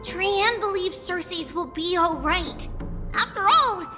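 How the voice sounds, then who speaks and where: A small anime game girl with a bright, piping voice. She is cheerful and earnest, speaking in a childish way. A long reverb surrounds her, with music behind. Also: extremely high pitch, animated, slow, heavily acted. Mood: cheerful, eager. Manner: childish, earnest, refers to self by name. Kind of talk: anime-style game voice acting.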